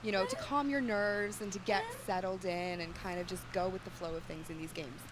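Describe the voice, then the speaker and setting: A young woman calling play-by-play at an esports tournament, steady and explanatory. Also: fairly low pitch, a little fast, background noise. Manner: steady, explanatory, with frequent fillers. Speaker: esports caster. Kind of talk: play-by-play commentary.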